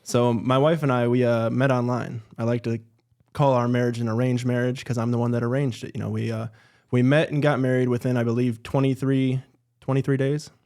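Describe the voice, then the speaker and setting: A man guest on a podcast in a studio, telling his story in a relaxed, matter-of-fact way. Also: mid-range voice, brisk. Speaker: guest, entrepreneur. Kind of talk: casual interview.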